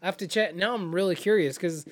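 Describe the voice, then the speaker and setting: A man with a fairly high voice talks films in loose, animated conversation. He fills his sentences with "like" and restarts. His pitch leaps up when he gets excited. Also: fast talker. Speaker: podcast co-host. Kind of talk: casual chat about films.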